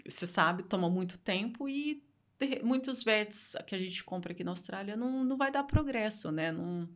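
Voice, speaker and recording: A woman's voice: mid-range voice, lively intonation, moderate pace. A Brazilian woman speaking Portuguese with a Brazilian accent, a guest telling her story in a casual interview, earnest and repeating phrases for emphasis.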